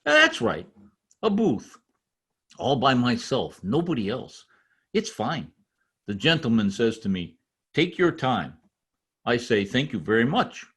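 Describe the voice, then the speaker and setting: Excitable husky-voiced foreign immigrant. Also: male voice, medium pitch, heavily acted. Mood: elated, proud. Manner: halting, simple English, earnest and enthusiastic. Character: excitable, proud immigrant tradesman, newly a citizen. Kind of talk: old-time radio comedy acting.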